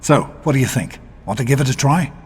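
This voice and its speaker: An actor playing a gentle, steady middle-aged father in a video game, speaking in a calm, measured, protective way. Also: mid-range voice, big-room sound, a little hiss or hum, lightly acted. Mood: warm, encouraging.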